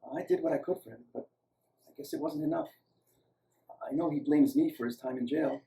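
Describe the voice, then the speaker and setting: A man voicing a grieving, guarded young man's sister in a story, quiet and wistful. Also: fairly high pitch, fairly quick, vocal fry. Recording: roomy, echoing, distant microphone.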